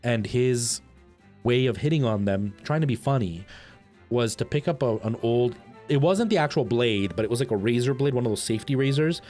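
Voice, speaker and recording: A man's voice: medium pitch, expressive, normal speed, darkish tone, faint music behind. A man chatting casually to viewers on a game stream, telling rambling anecdotes. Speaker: live streamer.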